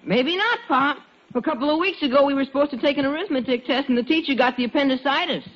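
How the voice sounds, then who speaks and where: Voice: high voice, fast talker, close to an everyday voice. A performer playing an earnest, hesitant American schoolboy son in a 1940s radio family comedy. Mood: hopeful excuses. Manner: hesitant, sheepish. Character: earnest, evasive schoolboy son, sheepish and worried. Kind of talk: 1940s radio sitcom acting. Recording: dull lo-fi 1940s radio recording.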